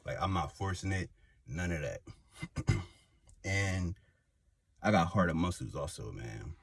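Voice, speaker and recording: A man's voice: low voice, animated, a little slow, creaky, slightly rough, no echo. A young man, telling a rambling personal story to camera with many fillers and restarts. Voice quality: slight lisp. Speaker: vlogger. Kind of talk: casual personal testimony.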